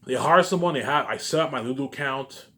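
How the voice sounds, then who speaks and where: Fast-talking man, rambling and repetitive. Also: mid-range voice, background noise. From Canada. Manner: repetitive, halting, with habitual trailing tags; emphatic, profane bursts dropping to muttered asides. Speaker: vlogger. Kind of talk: rambling informal monologue.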